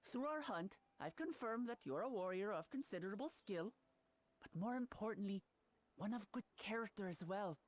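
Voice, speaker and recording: A woman's voice: medium pitch, expressive, moderate pace, natural voice. Young royal heir played by a woman, game cutscene. Earnest, resolute, plain-spoken, mid-range. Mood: earnest, determined. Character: earnest, spirited young princess seeking her father's throne. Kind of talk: video game cutscene acting.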